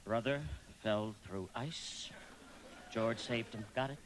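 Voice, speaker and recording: An actor playing an eager, naive, centuries-old apprentice angel, polite and fussy, in a live radio drama. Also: male voice, medium pitch, slow pace, slight background noise, natural voice. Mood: earnest. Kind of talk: live radio play acting.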